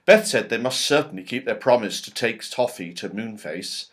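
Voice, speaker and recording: A man narrating a children's storybook as a reader, with expressive delivery, dramatic pauses and strong emphasis. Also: lowish voice. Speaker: audiobook reader.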